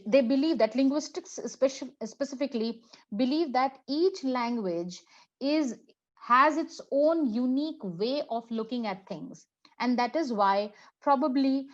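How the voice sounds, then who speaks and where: A woman with an Indian English accent, whose first language is Hindi, speaking as a conference speaker in a reflective, halting way full of fillers and restarts. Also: fairly high pitch, dull audio. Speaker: plenary speaker, English professor. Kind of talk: conference talk answering a question.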